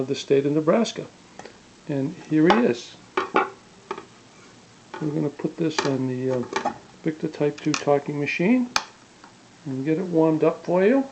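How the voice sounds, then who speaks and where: A man with a fairly high but very mellow voice presents an old record in a casual, halting way. He sounds like a collector talking at home, and the sound is dull and muffled. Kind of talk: casual spoken introduction.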